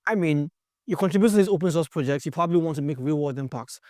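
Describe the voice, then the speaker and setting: A man's voice: a little high, quick pace, a little mellow, no room sound, clean studio sound. A man introducing a series of student stories as host, in an earnest, storytelling way. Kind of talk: scripted introduction.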